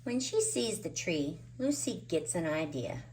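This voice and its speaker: Animated woman reading a storybook. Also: fairly low pitch, a little hiss or hum, rough recording. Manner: expressive, emphatic, drawn-out key words. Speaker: children's storybook reader. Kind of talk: animated read-aloud narration of a picture book.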